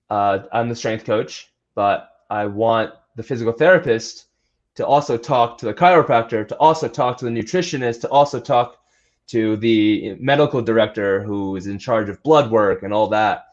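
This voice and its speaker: A man's voice: on the low side, lo-fi sound. A man speaking as a trainer and coach in a casual interview, in an earnest, conversational way. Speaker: athletic trainer and strength coach being interviewed.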